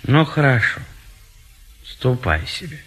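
Comic stage bachelor: indecisive, ruminating gentleman, slow delivery with big melodic swings. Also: mid-range voice, big pitch swings, breathy edge, full character voice, poor audio. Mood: fretful musing. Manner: hesitant, ruminating, repeats himself. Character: fussy, indecisive gentleman bachelor pondering marriage. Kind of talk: theatrical Russian classic comedy audio play.

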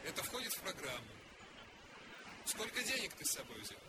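Laconic male petty-thief mentor, fairly high voice, curt, instructing, natural delivery. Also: big pitch swings, a little fast. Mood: cool, teasing. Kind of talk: naturalistic radio play acting. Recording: loud background noise, vehicle ambience.

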